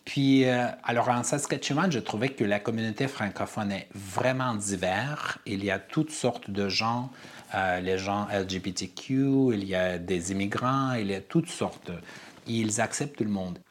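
A man from Armenia speaks in a very low voice during an interview. He answers earnestly, often pausing to search for the right word. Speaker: playwright and director, guest. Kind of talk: interview conversation.

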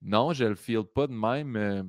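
A man in his late thirties with a Québécois accent, hosting a relaxed podcast chat with lots of fillers. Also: fairly low pitch, unhurried, no echo, studio quality. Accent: Québécois French. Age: 30s. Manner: relaxed, chatty, with frequent fillers. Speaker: podcast host. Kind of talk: casual conversation.

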